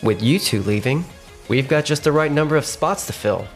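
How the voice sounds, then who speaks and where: A man playing a firm but polite sales manager in a manga dub, measured and businesslike. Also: fairly high pitch, lively intonation, soft background music. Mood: calm, resolute. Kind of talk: manga dub acting and narration.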